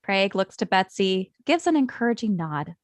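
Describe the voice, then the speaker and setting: A woman's voice: mid-range voice, sing-song, normal speed, no room sound. A woman narrator reading stage directions at a script table read.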